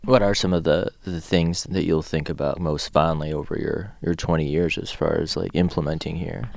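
A man's voice: extremely low pitch, steady tone, normal speed, creaky, no echo, studio quality. A man hosting a radio arts interview, asking questions in a casual, conversational and slightly tentative way. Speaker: arts show host, interviewer.

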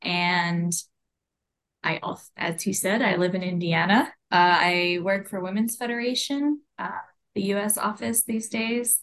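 A young woman who grew up in California speaks in an easy, understated way, with a middle pitch. She talks as a guest over a video call, laid back and relaxed. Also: unhurried. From California, Bay Area. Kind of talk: casual interview chat.

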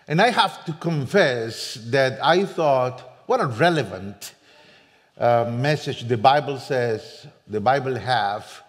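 A man from Costa Rica with a Costa Rican Spanish accent, a native Spanish speaker, preaching as a guest with earnest, self-deprecating warmth and frequent restarts. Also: medium pitch. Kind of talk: sermon. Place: church sanctuary. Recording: long church reverb.

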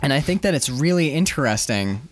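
A man's voice: mid-range voice, very animated, brisk. A man co-hosting a comic book discussion in an emphatic, opinionated and sometimes profane casual style.

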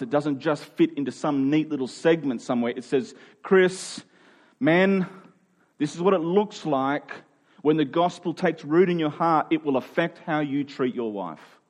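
A man in his forties preaching a sermon, earnest and emphatic, sometimes dropping to a quiet voice. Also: medium pitch. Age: 40s. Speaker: pastor.

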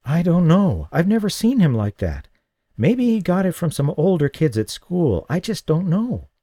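A male narrator voicing a kind young woman who sounds gentle and a little hesitant. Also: on the low side, big pitch swings, normal speed, dry recording, clean studio sound, pitched above the performer's own voice. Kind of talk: audiobook reading.

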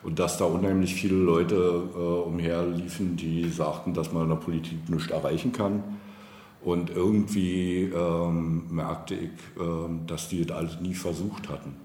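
A middle-aged man from East Germany giving reflective, conversational interview answers as a local politician, halting and searching for words. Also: sits low, little pitch movement, warm tone. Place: indoor room, face-to-face over coffee. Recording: echoey room with faint background noise.

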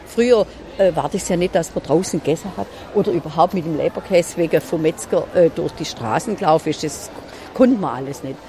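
A woman's voice: sits low, fairly quick, mellow. Woman, Swabian accent, local shopkeeper, chatty and folksy, outdoors on a street, faint traffic noise. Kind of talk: casual chat on the street.

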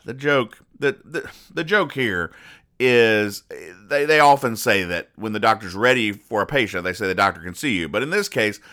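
A man hosting a podcast in a casual, rambling commentary style, explaining jokes with deadpan mock-earnestness. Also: fairly low pitch. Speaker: podcast host.

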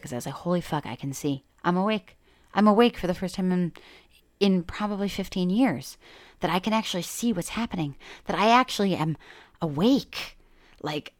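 Blunt, lively-voiced woman podcaster. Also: fairly low pitch, no room sound, clean studio sound. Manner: blunt, emphatic, swears freely; reflective, self-deprecating asides. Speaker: podcast host. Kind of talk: candid personal monologue.

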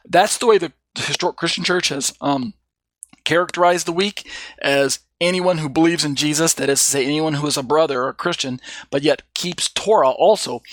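A man's voice: a little high, fairly bright tone, no echo. A middle-aged man teaching an informal Bible study lesson, stumbling over words with plenty of fillers and apologizing for his own mistakes. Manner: self-deprecating, stumbling over Greek readings, frequent fillers.

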